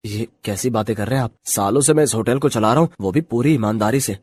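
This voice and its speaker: An honest middle-aged hotel keeper from a cartoon tale, acted by a man. He speaks earnestly and plainly at a medium male pitch. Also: fast, darkish tone, husky, close to an everyday voice. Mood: shocked, protesting.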